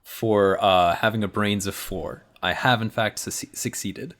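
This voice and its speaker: A man's voice: lowish voice, steady tone, unhurried, fairly bright tone. A man casually improvising a story as a player in a tabletop game.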